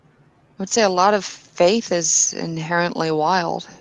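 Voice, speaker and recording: A woman playing slow, earnest kinfolk believer. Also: fairly low pitch, fairly even intonation. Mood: pondering. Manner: hesitant, thinks aloud with fillers and restarts. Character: devout kinfolk woman of faith, earnest questioner. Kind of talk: tabletop roleplay in character.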